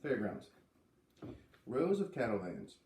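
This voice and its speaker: A man reads a children's story aloud with expression, giving the dialogue a light lift. His voice sits at some distance from the microphone, with clear room echo around it. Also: lowish voice, huge pitch swings, slow pace, a little mellow, a little hiss or hum. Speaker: reader of a children's book read-aloud series. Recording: roomy, voice away from the microphone.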